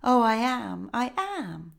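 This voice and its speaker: A woman reading a fairy tale voices a young runaway princess who speaks softly and timidly. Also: fairly high pitch, sing-song, takes it slowly, creaky, pitched above the performer's own voice, exaggerated voice. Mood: meek. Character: young runaway princess, meek servant girl.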